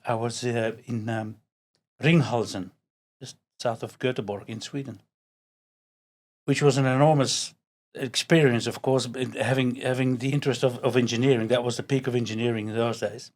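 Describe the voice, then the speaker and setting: A man's voice: medium pitch, fairly even intonation, normal speed, darkish tone. A man in his sixties from Belgium with a Belgian accent, chatting as a podcast guest, repeating words as he searches and laughing easily. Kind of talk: casual interview chat.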